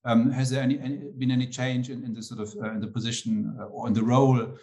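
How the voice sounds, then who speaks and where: Hesitant man with a mellow voice. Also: mid-range voice, flat delivery, quick pace, dull audio. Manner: hesitant, repeating words. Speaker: moderator. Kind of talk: asking a question in a panel.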